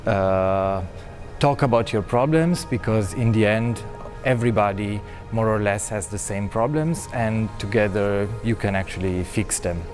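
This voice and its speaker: A man from Italy, a doctoral researcher, giving earnest recorded interview answers with some halting phrasing. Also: fairly low pitch, a little mellow, faint music behind. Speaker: PhD researcher.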